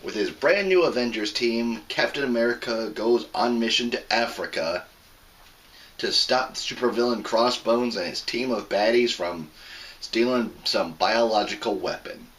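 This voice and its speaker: A man hosting his own review show, hoarse from a sore throat, explaining a plot in a plain, casual way with some restarts. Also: medium pitch, a little slow, a bit bright, poor audio. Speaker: host of a movie review show. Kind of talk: casual spoken movie review.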